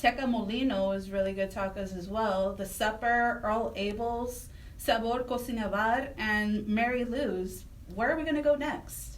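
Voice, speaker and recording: A woman's voice: a little high, a little slow, few pauses, echoey room. A woman co-hosting a talk radio show, chatting casually and interviewing. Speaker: radio co-host. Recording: distant mic, noticeable background noise.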